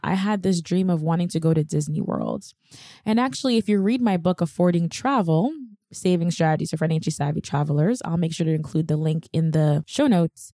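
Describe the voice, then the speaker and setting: A woman's voice: on the low side, dark tone, dry recording. A young woman hosting a podcast, telling her own story in a candid, chatty, self-deprecating way on a close mic. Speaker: podcast host. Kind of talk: personal storytelling monologue.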